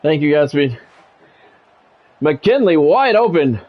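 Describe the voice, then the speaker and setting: A middle-aged man with a slightly high voice gives live commentary on a sports video game. He speaks in short, clipped play-by-play phrases like a radio announcer and slips into casual, salty chat. Music plays behind him. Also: big pitch swings, takes it slowly, lo-fi sound. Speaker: gaming streamer and play-by-play commentator.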